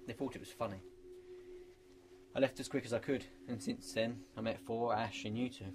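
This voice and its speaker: A man's voice: medium pitch, fairly even intonation, normal speed, noisy background, natural voice. A man playing a level-headed, earnest teacher turned apocalypse survivor in a radio drama. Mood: sombre. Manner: earnest, encouraging.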